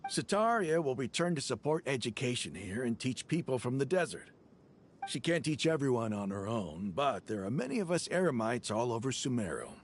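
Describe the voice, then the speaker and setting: A man playing a hearty, grandiose desert mercenary in a video game, with flowery, expressive speech. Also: medium pitch, slight background noise, natural voice. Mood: friendly. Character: hearty male desert mercenary, warm, theatrical, flowery-spoken. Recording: guitar music under the voice.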